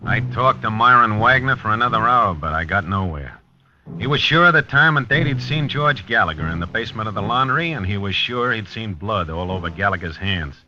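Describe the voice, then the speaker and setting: Businesslike radio police detective, forceful. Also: male voice, lowish voice, lively intonation, brisk. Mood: matter-of-fact. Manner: clipped, businesslike, probing. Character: methodical male police detective narrating and questioning a witness. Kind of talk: 1950s radio drama acting. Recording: dull lo-fi vintage radio broadcast.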